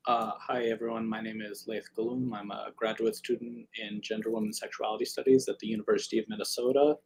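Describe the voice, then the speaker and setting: A man, a graduate student, introducing himself briefly and softly, trailing off at the ends of sentences. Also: fairly low pitch, level tone, fast talker, slightly rough, rough recording. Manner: soft-spoken, trailing off.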